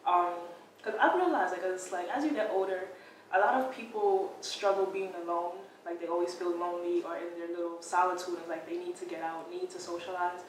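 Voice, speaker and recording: A twenty-five-year-old woman from Brooklyn answers interview questions in a relaxed, reflective way. Her voice sits a little low and comes from some distance off the mic. The space is very echoey, with faint noise behind her. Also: brisk. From Brooklyn, New York. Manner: relaxed, thoughtful, says "like" often.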